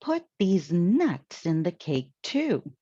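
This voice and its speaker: A woman's voice: on the low side, big pitch swings, slow pace, dark tone, husky, dry recording, highs cut off, exaggerated voice. A woman voicing a busy lady of the house who gives instructions and exclaims, in a storybook reading. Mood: instructing. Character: busy, animated lady of the house, bossy but good-humoured.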